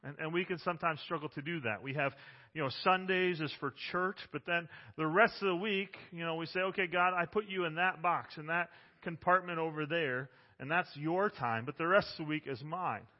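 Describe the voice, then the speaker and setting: Loud-voiced male pastor preaching in a hall. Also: fairly high pitch, a little fast. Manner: loud, emphatic preaching with frequent restarts. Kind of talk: sermon. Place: church sanctuary. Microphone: handheld or stand microphone. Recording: dull sound with long hall reverb.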